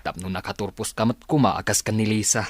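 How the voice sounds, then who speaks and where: A man playing a concerned father talking to his daughter in a Filipino radio drama, measured and paternal. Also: on the low side, close to an everyday voice.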